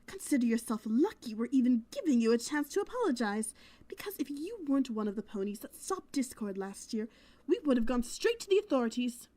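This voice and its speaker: A woman voicing a haughty older wealthy mare, an imperious, sharp-tongued angry mother in a cartoon-style audio drama. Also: fairly high pitch, big pitch swings, fairly quick. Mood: haughty anger.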